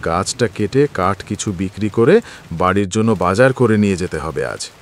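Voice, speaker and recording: Here is a man playing a poor, hardworking village woodcutter from a moral-story cartoon. His voice sits fairly low and moves with lively, earnest, plaintive expression. Rain patters faintly behind him. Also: normal speed, a little hiss or hum. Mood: musing.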